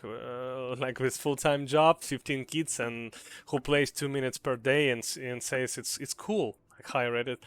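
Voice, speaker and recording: A man's voice: mid-range voice, fairly bright tone, clear, resonant tone. A young man with a Ukrainian accent giving a casual, earnest gaming commentary, hesitant with many fillers and restarts. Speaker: gaming video creator.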